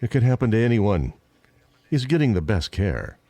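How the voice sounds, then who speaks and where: A man's voice: lowish voice, sing-song, moderate pace, dark tone, no room sound. A man playing a reassuring monster police lieutenant in a radio play. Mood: consoling.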